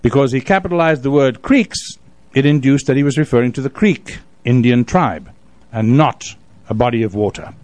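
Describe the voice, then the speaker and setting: A man chats as a radio host in a dry studio. His delivery is casual and folksy, with a joking streak. His pitch sits in the middle for a man. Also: normal speed, darkish tone, dry recording. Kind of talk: casual chat, live radio hosting.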